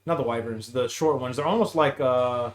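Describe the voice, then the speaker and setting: A man's voice: mid-range voice, moderate pace. A man as a podcast guest chatting casually about games in loose, bro-heavy slang. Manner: slangy, calls the other speaker bro and dude. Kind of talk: casual gaming podcast chat.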